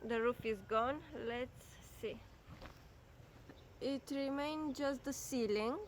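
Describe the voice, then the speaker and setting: Upbeat Romanian-accented woman in her thirties, slightly high voice, light background noise. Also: takes it slowly. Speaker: vlogger. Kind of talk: casual vlog. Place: outdoors at a building site.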